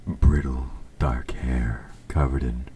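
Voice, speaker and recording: A man playing an evil, possessive king in an audio roleplay, speaking formally and with measured, quiet menace, his S sounds sharp. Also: rumbling low, little pitch movement, a little hiss or hum. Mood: quietly menacing. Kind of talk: scripted audio roleplay, intimate dramatic monologue.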